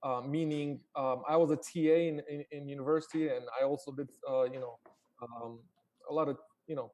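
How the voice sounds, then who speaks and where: Mellow-voiced man with many fillers. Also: on the high side, steady tone. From Romania. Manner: halting, many fillers and restarts. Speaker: startup founder guest. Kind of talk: casual interview over a live call.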